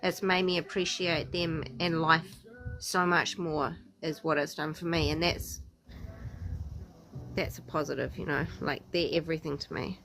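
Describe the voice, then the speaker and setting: A woman's voice: sits low, steady tone, measured pace, gravelly, fairly bright tone, a little hiss or hum. A woman from New Zealand with a New Zealand accent, chatting loosely as a livestream guest. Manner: chatty, loose, uses filler like "dude" and "like". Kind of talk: casual live chat.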